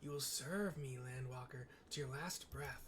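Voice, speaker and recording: A man's voice: mid-range voice, unhurried, close to an everyday voice. A man voicing a proud, vengeful female wind goddess who declaims threats in a haughty manner.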